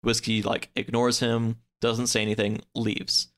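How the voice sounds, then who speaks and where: A man's voice: on the low side, level tone, a little slow, a bit bright. A man in a casual chat, retelling a story in a rambling way peppered with "like" and acting out the characters' lines. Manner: acts out characters' lines in quotes. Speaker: podcast guest.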